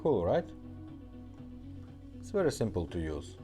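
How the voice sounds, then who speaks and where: Deep-voiced man giving a casual demo. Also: big pitch swings, measured pace, dark tone, soft background music. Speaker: repair shop presenter demonstrating a product. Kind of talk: casual product demonstration, explaining step by step.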